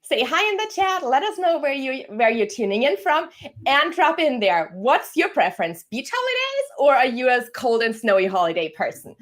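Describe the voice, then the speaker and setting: A woman from Germany hosts a live-streamed career talk. Her voice sits a little high, and she greets her guest and audience in an upbeat, welcoming way. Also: big pitch swings, moderate pace. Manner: upbeat, welcoming, scripted-sounding intro. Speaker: host, career coach. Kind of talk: live-stream interview hosting.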